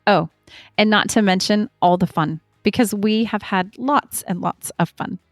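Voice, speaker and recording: A woman's voice: medium pitch, a little slow, no echo, studio quality, soft background music. Upbeat woman podcast host. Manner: upbeat and encouraging. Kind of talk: scripted ad read and warm interview hosting.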